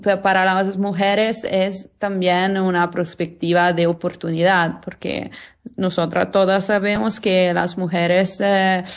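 A woman guest with an Italian accent speaks in a second language. Her pace is very slow and halting as she searches for words, and her voice is slightly low. She answers in a conversational interview style. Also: flat delivery, clear, resonant tone. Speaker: interview guest, lawyer and illustrator.

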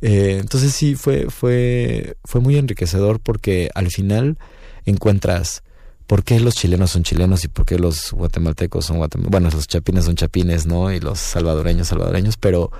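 A Mexican man speaks in a very low, mellow voice with a dry, close sound. He chats loosely and meanders, filling pauses as he thinks aloud in a radio booth interview. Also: vocal fry, dry recording. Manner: relaxed, rambling, frequent fillers and "¿no?". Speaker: guest musician. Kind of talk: casual interview talk.

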